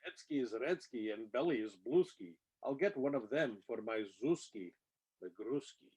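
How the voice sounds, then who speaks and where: Man reading rhyming storybook, mellow voice. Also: medium pitch, animated, normal speed, slightly rough, low-quality recording. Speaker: reader of a children's picture book. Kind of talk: expressive read-aloud of rhyming storybook verse.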